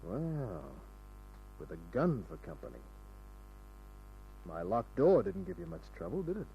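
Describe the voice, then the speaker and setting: An actor playing a wisecracking, world-weary private detective in an old radio crime drama, with dry, sardonic delivery. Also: male voice, lowish voice, very animated, measured pace, warm tone, husky, exaggerated voice. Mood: wry, guarded. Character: wisecracking hard-boiled male private detective. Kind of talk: 1940s-50s radio crime drama narration and dialogue. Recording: dull old radio recording with music.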